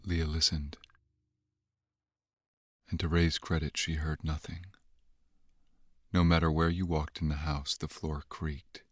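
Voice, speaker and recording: An actor playing a grave, ominous narrator of a horror audio drama, reading in a measured way. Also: male voice, extremely low pitch, flat delivery, slightly rough, no room sound, studio quality, heavily acted. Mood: hushed, grim. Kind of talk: scripted narration.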